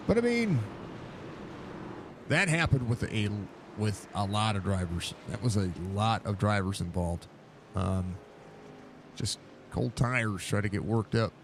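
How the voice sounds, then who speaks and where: Gravelly, stuffy male race caller. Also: fairly low pitch, background noise. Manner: excited, rambling play-by-play with fillers. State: stuffy, congested. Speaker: sim racing broadcaster. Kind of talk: live race commentary.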